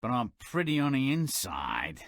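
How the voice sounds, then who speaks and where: Gravelly, slow big bad wolf. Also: male voice, mid-range voice, wildly swooping pitch, a bit bright, no echo, studio quality, exaggerated voice. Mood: gluttonous, gloating. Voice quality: gravelly, rasping. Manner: drawn-out, predatory, theatrical. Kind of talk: cartoonish game fairy-tale acting.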